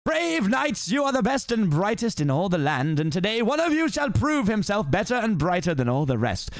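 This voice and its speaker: A man's voice: high voice, sing-song, moderate pace, no room sound, voice pushed up. A man voicing a pompous, scheming lord who makes grand proclamations to his knights and his court. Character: pompous, scheming small-statured male lord.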